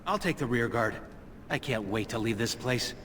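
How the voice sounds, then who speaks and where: A man's voice: mid-range voice, expressive, moderate pace, a bit bright, a little hiss or hum, natural voice. Man playing an anxious young elf, echoing hall. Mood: uneasy. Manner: nervous, hesitant. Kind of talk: fantasy video game acting.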